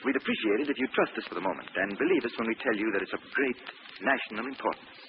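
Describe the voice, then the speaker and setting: Actor as courteous, earnest professor on muffled radio. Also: male voice, on the low side, expressive, racing, natural voice. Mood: earnest, anxious. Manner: formal, polite, hesitant. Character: earnest, courteous male professor, anxious scientist. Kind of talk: 1940s radio acting. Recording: muffled, noisy old radio recording.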